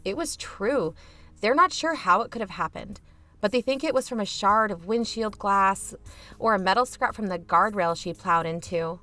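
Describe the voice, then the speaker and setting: A woman's voice: mid-range voice, animated, normal speed, sharp tone, faint music behind. A woman playing a reluctant, sardonic younger sister who hosts an investigative podcast in an audio drama, talking casually. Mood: subdued, troubled. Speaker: podcast host character. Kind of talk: conversational audio fiction acting.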